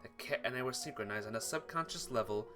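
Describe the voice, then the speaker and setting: A man's voice: medium pitch, quick pace, music in the background. A man narrating a visual novel playthrough, reading the story text aloud.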